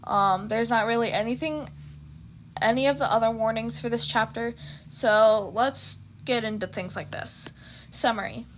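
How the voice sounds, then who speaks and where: Woman, high voice, expressive story narration, casual asides, faint background noise. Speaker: fan fiction audio narrator.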